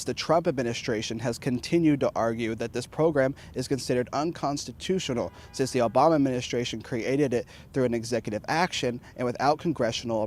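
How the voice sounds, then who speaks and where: A man's voice: mid-range voice, darkish tone. A young American man reporting the news in a broadcast style, in live stand-ups and scripted narration. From Oklahoma, United States.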